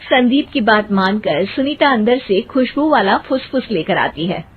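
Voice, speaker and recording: A woman's voice: fairly high pitch, lively intonation, normal speed, slight background noise. A woman narrating a scripted comic story as its storyteller. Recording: muffled, phone-like narrow sound.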